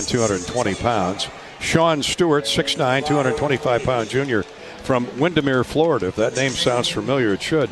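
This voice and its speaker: A man calls a game as a radio play-by-play announcer, his voice sitting at a middle pitch. His delivery pauses and hesitates between items, and music plays clearly behind him. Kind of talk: sports radio lineup commentary.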